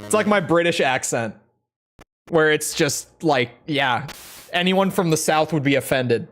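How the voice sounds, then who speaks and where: A man giving playful let's play commentary, chatty and quick to laugh. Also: a little high, expressive, fairly bright tone, soft background music. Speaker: video game streamer.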